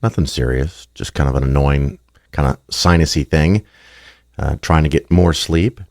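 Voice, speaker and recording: A man hosting his own podcast, talking casually and bluntly, his voice raspy and gravelly from a cold. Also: rumbling low, normal speed, darkish tone, dry recording, clean studio sound. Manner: blunt, plainspoken, chatty with fillers. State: getting over a cold. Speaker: podcast host. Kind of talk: casual solo monologue.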